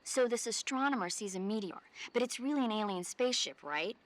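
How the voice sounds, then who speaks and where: A woman's voice: fairly high pitch, expressive, a little fast, lightly acted. An actress playing a chatty, earnest female support officer and film buff in a video game, explaining things conversationally.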